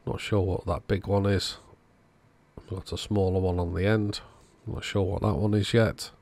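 Male repair-channel host, low creaky voice, casual step-by-step narration, dry close sound. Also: level tone, normal speed, darkish tone.

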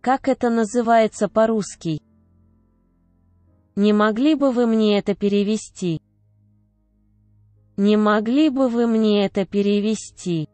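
A woman reading short phrases aloud one at a time, each clearly enunciated and measured. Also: medium pitch, warm tone, no room sound, faint music behind. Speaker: Russian phrase reader. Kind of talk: slow repeated phrase reading.

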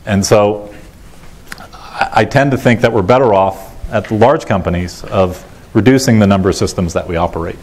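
A middle-aged American man giving a technical conference talk in a measured, matter-of-fact way, pausing often as he goes. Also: lowish voice, a little mellow. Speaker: conference keynote speaker. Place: large lecture auditorium, indoors. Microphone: lapel mic.

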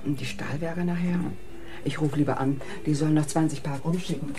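Woman acting homely wife, low voice, dark and soft, chatty, radio play. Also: fairly even intonation, brisk, soft background music, close to an everyday voice. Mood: everyday. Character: homely, busy wife of a castle caretaker. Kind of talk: German radio crime drama acting.

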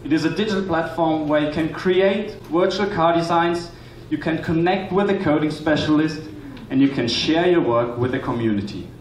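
A man in his twenties presenting a rehearsed pitch as a student on a hall stage. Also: normal speed. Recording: echoey, noisy hall, distant microphone pickup.

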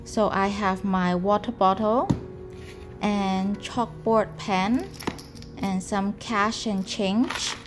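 Gentle slow-talking woman in her 30s walking through her pottery packing, music under it. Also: mid-range voice, fairly even intonation. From Canada. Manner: slow, gentle, careful delivery. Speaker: potter vlogger. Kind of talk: calm explanatory vlog narration. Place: home room indoors. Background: background music.

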